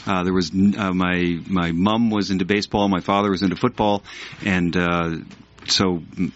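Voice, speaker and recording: A man's voice: deep voice, steady tone, moderate pace, slight background noise. A Canadian man, a writer and math teacher, tells a wry, self-deprecating story on a radio panel. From Ontario, Canada. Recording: muffled radio studio sound.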